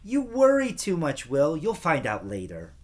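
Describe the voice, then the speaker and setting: An eager, bossy little kindergarten boy from a storybook, acted out by a man. He pitches his voice up and makes big, swooping announcements like a tiny campaign manager. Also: wildly swooping pitch, unhurried, full character voice. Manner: enthusiastic, bossy, shouting announcements.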